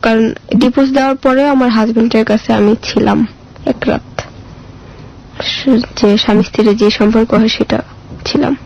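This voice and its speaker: A woman's voice: a little high, little pitch movement, moderate pace, gravelly, pauses often, darkish tone, highs cut off. A woman with a Bangladeshi accent telling her life story as an interview guest in plain, colloquial, plaintive speech.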